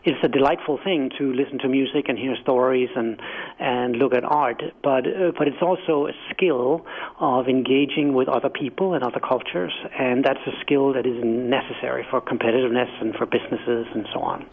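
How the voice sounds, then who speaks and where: A man's voice: medium pitch, fairly quick. A man from Denmark with a Danish accent, a guest author answering questions in a radio interview, thoughtful, with frequent restarts and fillers. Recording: phone-line audio.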